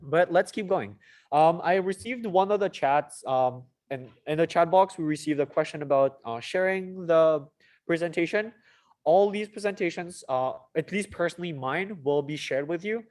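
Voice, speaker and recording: A man's voice: fairly high pitch, normal speed, a little mellow. A young man from Mauritius moderating an informal online student presentation, with casual, slightly hesitant phrasing. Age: young adult. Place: online video call.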